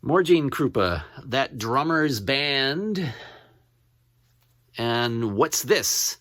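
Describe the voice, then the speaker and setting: A man chatting casually as he shows off his finds as a collector, rambling and halting, often repeating what he reads out. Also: mid-range voice, lively intonation, fairly bright tone, no room sound, studio quality. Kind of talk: casual show-and-tell to camera.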